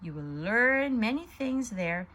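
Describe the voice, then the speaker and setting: A fatherly old wood carver from a storybook, acted out by a woman. He scolds at an unhurried pace with expressive swings in pitch. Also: a little hiss or hum. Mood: fatherly, stern.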